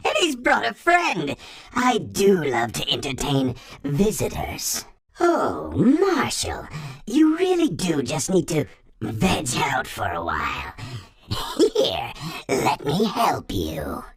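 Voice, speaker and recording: Wildly swooping evil flower. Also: female voice, medium pitch, exaggerated voice. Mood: gloating, mock-sweet, then taunting. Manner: mock-sweet, drawn-out taunting. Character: sly, theatrical female flower villain, sweetly menacing. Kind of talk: cartoon video game villain acting.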